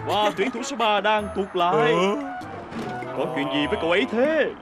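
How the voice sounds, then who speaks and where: A man's voice: sits high, expressive, moderate pace, over loud music. A man voicing a hearty, responsible talking construction truck in a Vietnamese cartoon dub. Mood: mock commentary.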